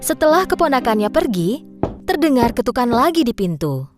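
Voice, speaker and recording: A woman's voice: on the high side, big pitch swings, normal speed, music in the background. A woman reading scripted narration for a children's story as the narrator.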